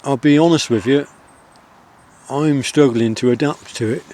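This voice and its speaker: A middle-aged man speaks with a soft Wiltshire country accent, his voice at an ordinary pitch for a man. He talks in a gentle, rambling way, stopping often on fillers. He is recording outdoors in the countryside. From England. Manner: soft-spoken, rambling, with many pauses and fillers. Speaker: outdoor vlogger. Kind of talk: reflective outdoor vlog.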